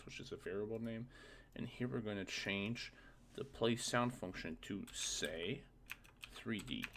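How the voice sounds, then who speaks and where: Low gravelly man giving casual tutorial. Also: fairly bright tone. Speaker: game modding tutorial presenter. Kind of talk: casual screen-recorded tutorial, thinking aloud. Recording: faint noise, keyboard clicks.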